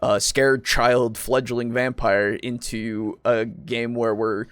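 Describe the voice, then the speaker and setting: A man hosting a casual podcast chat about tabletop roleplaying, explaining things in a rambling, halting way with some swearing. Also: mid-range voice, little pitch movement, fairly bright tone, clear, resonant tone, no echo. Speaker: podcast host.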